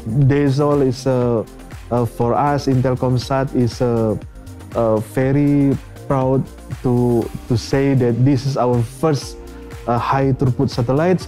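A man's voice: medium pitch, mellow. Hesitant Indonesian-accented man in his fifties. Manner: halting non-native English with frequent uh fillers and restarts. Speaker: company president director, guest. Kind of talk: corporate interview answers. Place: bright office studio set.

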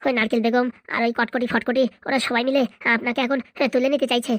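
A woman's voice: fairly high pitch, little pitch movement, no room sound, studio quality, close to an everyday voice. A woman voicing an urgent, protective young man in a cartoon. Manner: urgent, hurried. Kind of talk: cartoon voice acting.

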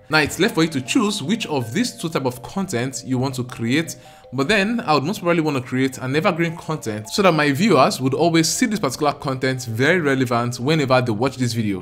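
A man's voice: mid-range voice, fairly bright tone. A young man with a Nigerian accent, explaining step by step in an earnest, emphatic vlog to camera. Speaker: YouTube creator. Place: small home studio room. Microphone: large studio mic close in front.

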